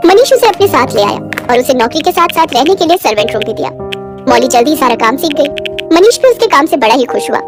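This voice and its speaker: Female storyteller for scripted moral tales; very high voice over clear background music. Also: sing-song, fast. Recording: music behind the voice.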